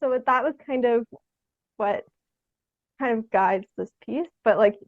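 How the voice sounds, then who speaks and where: Young woman, fairly high voice, casual interview guest, tentative and halting, dry close sound. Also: no room sound, muffled recording. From Canada. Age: young adult. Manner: tentative, halting, giggles through speech. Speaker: guest, theatre artist.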